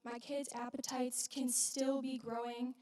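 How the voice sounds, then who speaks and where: Woman performing wounded young biblical widow, high voice, earnest church monologue, weighted pauses, distant in an echoey hall. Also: little pitch movement, mellow. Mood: reverent, hopeful. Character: widowed young Galilean mother, wounded, reverent witness of Jesus.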